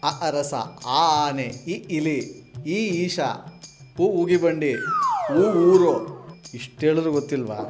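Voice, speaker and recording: A man's voice: a little high, takes it slowly, pauses often. A man with a southern Karnataka accent hosting comic prank calls, teasing and argumentative in a mock-scolding way. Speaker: radio prank call host. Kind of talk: comic prank phone call. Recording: music bed behind the voice.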